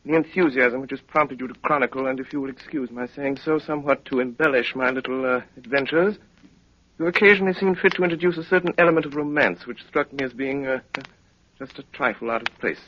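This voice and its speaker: An actor playing a brisk, self-assured, eccentric detective in a 1930s radio drama, with clipped, theatrical, dryly amused delivery. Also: male voice, mid-range voice, a little hiss or hum, close to an everyday voice. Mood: measured, haughty. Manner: clipped, theatrical, haughty, hesitating with dry understatement. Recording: dull vintage 1938 radio broadcast.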